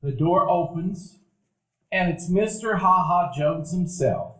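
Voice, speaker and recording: A man's voice: high-pitched, takes it slowly. Man narrating a nostalgic radio play. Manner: warm, reminiscing storyteller. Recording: echoey room, live audience.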